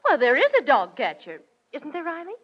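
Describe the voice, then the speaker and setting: High-voiced gentle radio wife. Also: female voice, big pitch swings, a little fast. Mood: mild. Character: gentle, reasonable wife and niece. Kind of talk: 1940s radio comedy acting. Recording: dull noisy vintage radio recording with audience.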